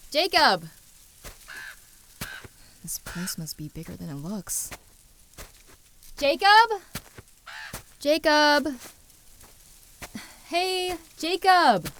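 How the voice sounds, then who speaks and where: A woman's voice: high-pitched, a bit bright, full character voice. A woman playing an exasperated, sardonic young woman in a naturalistic radio drama. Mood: anxious, calling out. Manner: sarcastic, questioning.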